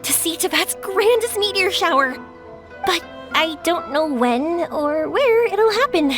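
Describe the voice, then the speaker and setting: A woman playing a cheerful, excitable young fireworks artisan in a video game, chatty and informal. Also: very high-pitched, a little slow, music in the background. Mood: upbeat, chatty. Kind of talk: animated video game voice acting.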